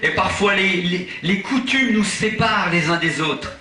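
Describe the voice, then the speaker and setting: High, bright-voiced preaching man in echoing hall. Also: unhurried. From Portugal. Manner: impassioned, rising to shouts, chuckling asides. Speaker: evangelist singer and preacher. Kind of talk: church conference sermon. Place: large church hall. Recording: echoey hall, voice from far off.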